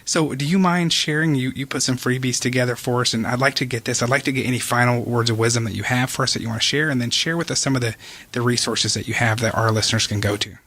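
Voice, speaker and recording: Male podcast host, fast and husky, conversational, affirming, slightly halting delivery. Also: mid-range voice, fairly bright tone. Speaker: interview host.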